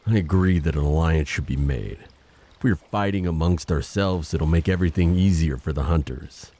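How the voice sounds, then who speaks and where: Casting: male actor for noble prince of the sea, extremely low dark voice, grave and formal, audio fantasy drama. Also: moderate pace. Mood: earnest, dutiful. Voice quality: very deep, dark. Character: solemn, dutiful undersea prince guarding his hunted people.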